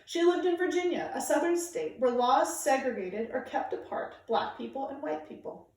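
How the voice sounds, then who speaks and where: A woman reading aloud to children like a teacher, with clear, deliberate, emphatic phrasing. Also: high-pitched, lively intonation, darkish tone. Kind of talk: read-aloud storytelling for children, informal introduction. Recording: very echoey room, distant microphone.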